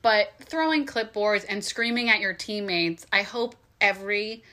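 A woman's voice: mid-range voice, unhurried, bright, forceful tone. A woman co-hosting a casual football podcast with her husband, sounding a little congested and chatting in an opinionated way. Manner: chatty, opinionated, laughs often. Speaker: co-host, host's wife. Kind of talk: casual sports talk podcast.

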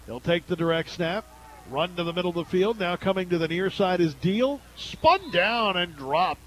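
A man with an American accent calls a football game live for radio as the play-by-play announcer. His voice sits fairly high for a man, and he follows each play in the clipped phrasing of sports commentary. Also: moderate pace, a little hiss or hum.

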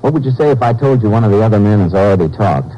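An actor playing a calm, firm American Texas Ranger, terse and matter-of-fact, in 1950s radio drama style. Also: male voice, on the low side, rapid-fire. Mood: steady, persuasive. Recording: muffled old radio recording, faint music.

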